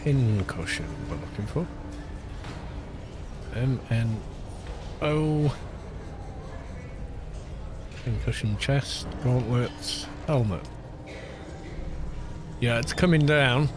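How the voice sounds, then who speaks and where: Relaxed baritone gaming streamer. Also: male voice, very slow, pauses often, noisy background. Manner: relaxed, muttering to himself between remarks. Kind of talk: casual live-stream commentary while playing. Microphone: studio microphone with low cut. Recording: game music playing behind the voice. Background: game music.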